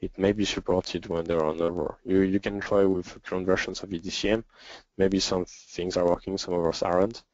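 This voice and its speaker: Low-voiced French-accented man, hesitant presenter. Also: little pitch movement, darkish tone, dry recording. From France. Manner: hesitant, halting, with frequent pauses and throat clearing. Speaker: software developer and webinar presenter. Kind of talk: informal webinar question-and-answer session. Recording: dull lo-fi webinar audio.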